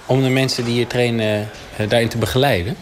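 A man's voice: mid-range voice, steady tone, quick pace, slight background noise, natural voice. A man playing a curious radio field reporter, asking questions a little hesitantly in a mock reportage. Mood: inquisitive.

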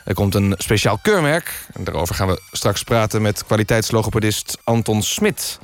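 A man presenting satirical news as a deadpan radio magazine host. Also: on the low side, moderate pace.

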